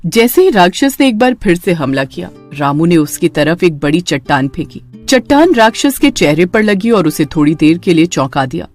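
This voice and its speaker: A woman's voice: fairly low pitch, sing-song, moderate pace, few pauses, darkish tone, faint music behind. A woman narrator reading a scripted horror story in a dramatic storytelling manner.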